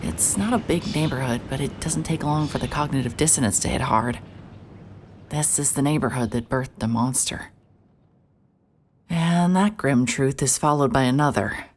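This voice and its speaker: A woman's voice: very deep voice, normal speed, slight background noise. A woman narrating in scripted first person as a world-weary private investigator, dry and wry in tone. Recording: music bed under narration.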